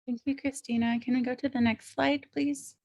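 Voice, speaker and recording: A woman's voice: high voice, little pitch movement, normal speed, a little mellow. A woman giving a webinar presentation, talking steadily with frequent "uh" fillers. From Klagetoh, Arizona, Navajo Nation.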